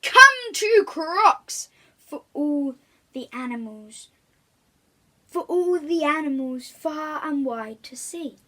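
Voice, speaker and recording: A woman's voice: sits high, animated, slow, bright, forceful tone, pitched above the performer's own voice, heavily acted. A woman voicing a rich, lonely, childlike male crocodile in a children's storybook, with an eager, exclaiming delivery. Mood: excited, welcoming.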